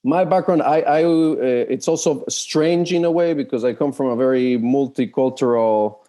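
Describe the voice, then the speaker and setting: Male podcast guest from Mexico City, mid-pitched, very mellow tone, modest, hesitant, conversational. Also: steady tone, moderate pace. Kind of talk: casual interview chat.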